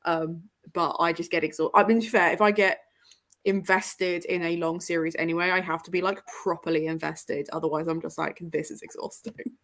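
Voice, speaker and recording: A woman's voice: on the low side, steady tone, brisk, fairly bright tone. A woman hosting a casual book livestream, chatting and reading out comments in a jokey, self-deprecating way. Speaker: host of a book livestream with guests.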